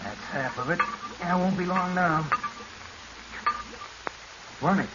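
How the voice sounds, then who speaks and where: Muffled old radio, scheming diver, high strained-up voice, urgent and agitated, noisy recording. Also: male voice, slow pace. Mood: tense, impatient. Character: scheming male deep-sea diver, desperate and panicky. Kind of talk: 1940s radio drama acting.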